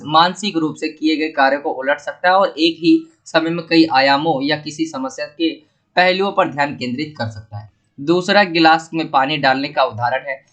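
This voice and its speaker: Male tutor, sore throat, slightly high voice, steady filler-heavy exam walkthrough. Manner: steady explaining with frequent fillers. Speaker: teacher explaining exam paper. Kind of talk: exam paper walkthrough lesson.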